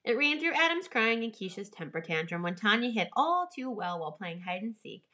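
A woman's voice: fairly high pitch, very animated, brisk, pushed and bright. A woman storyteller reading a children's storybook aloud with expression.

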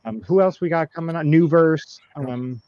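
A man in his thirties hosting a casual group podcast, laid-back and rambling with many pauses and ums. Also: a little high, darkish tone, dry recording, dull audio. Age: 30s. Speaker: podcast host.

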